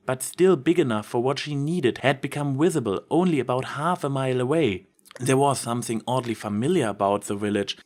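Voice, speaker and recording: A man narrating fiction from a script as a dramatic story reader. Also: mid-range voice.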